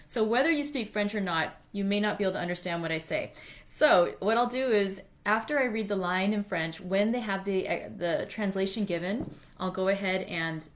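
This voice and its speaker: Female read-aloud host, mid-pitched voice, informal and self-deprecating, able to read literary narration. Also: normal speed. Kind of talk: chatty introduction, then literary audiobook-style reading.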